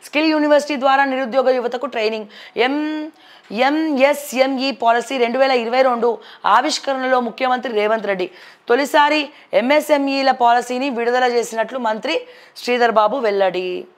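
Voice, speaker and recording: A woman news presenter with a distinctly high voice and a Telangana accent. She reads headlines and comments on them in a conversational, opinionated way, dropping in English words as she goes. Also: moderate pace.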